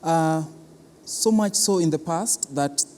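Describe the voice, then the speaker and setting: A Kenyan man with a soft, mellow voice pitched a little high explains things in an interview. He speaks deliberately and haltingly, repeating words for emphasis. The sound rings with long hall reverb. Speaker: arts institution official being interviewed. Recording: long reverberant hall, clear background noise.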